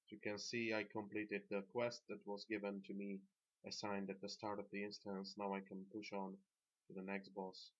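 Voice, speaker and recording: A man who is not a native English speaker, narrating an instructional video game guide in a measured, explanatory way. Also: on the low side, completely flat delivery. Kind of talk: instructional gameplay commentary. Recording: dull, lo-fi microphone sound.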